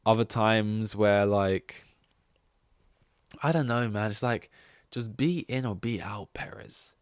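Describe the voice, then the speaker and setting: Low-voiced man, rambling sweary podcast host. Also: takes it slowly, clean tone, no echo, studio quality. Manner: rambling, sweary, sarcastic, acts out mock quotes. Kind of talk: casual opinionated sports ranking commentary.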